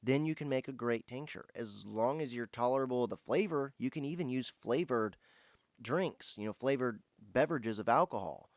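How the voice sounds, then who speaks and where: A man's voice: mid-range voice, moderate pace, no room sound, clean studio sound. A man speaking in an earnest, easygoing way as he answers viewer questions in a casual vlog. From United States, Indiana. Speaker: host of a foraging and herbal channel.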